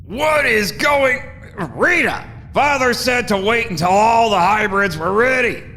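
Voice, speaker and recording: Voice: medium pitch, unhurried, pushed and bright, a little hiss or hum. An actor in an audio drama playing a complaining villain sidekick who frets about an angry father. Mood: alarmed. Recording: long reverb, faint music behind.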